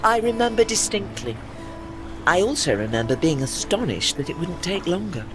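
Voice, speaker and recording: Woman as proud old warship, lively and refined. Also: fairly low pitch, normal speed, over music. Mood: wistful, dignified. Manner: formal, dignified speech. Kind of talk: audio drama acting.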